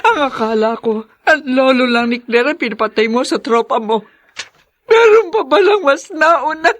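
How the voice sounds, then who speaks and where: A woman's voice: high-pitched, lively intonation, normal speed. An actress playing an anxious middle-aged mother in a Filipino radio drama, pleading and emotional as she shields her son. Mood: anguished.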